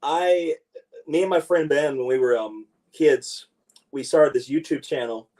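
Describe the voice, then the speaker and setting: Young man, wrestler guest, laid-back and enthusiastic, slightly high voice, heard from a distance. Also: a little slow, a little mellow. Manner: laughs often, loose and enthusiastic. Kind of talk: casual interview chat. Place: rural home. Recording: distant mic.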